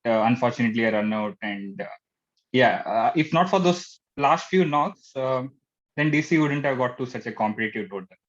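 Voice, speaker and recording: A man with an Indian accent giving casual match analysis as a panelist, with a halting, hesitant delivery. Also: mid-range voice, lively intonation. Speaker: cricket show panelist. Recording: dull, lo-fi band-limited sound.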